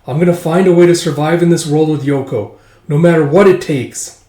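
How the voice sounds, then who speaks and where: A man's voice: a little high, a little fast, warm tone, natural voice. A man voicing a tough, bitter young girl who talks with defiant insistence in a comic read-along. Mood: bitter defiance. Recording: roomy, echoing sound.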